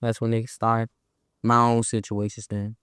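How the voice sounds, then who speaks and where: Brief: smooth, resonant DC man, laid-back and slangy, dry close mic. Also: fairly low pitch, completely flat delivery, moderate pace, a bit bright, dry recording. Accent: Washington DC. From Southeast Washington DC. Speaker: rapper, guest. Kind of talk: casual interview chat.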